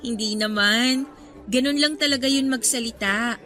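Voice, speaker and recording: An actress playing a good-natured young college student in a radio drama, bantering in an expressive, lighthearted way. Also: female voice, a little high, a little slow, faint music behind. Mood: mild, accommodating. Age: young adult.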